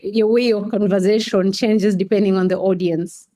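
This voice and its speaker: A woman's voice: medium pitch, steady tone, moderate pace, warm tone. A woman from Kenya with a Kenyan accent, explaining things articulately in a conversational interview as a guest. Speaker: podcast guest, coffee company staff member.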